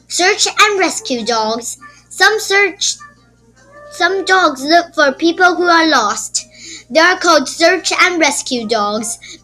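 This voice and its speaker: A woman reading a children's nonfiction book aloud as host, with deliberate, simple phrasing. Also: high voice, sharp tone, no room sound, poor audio. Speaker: YouTube read-aloud host.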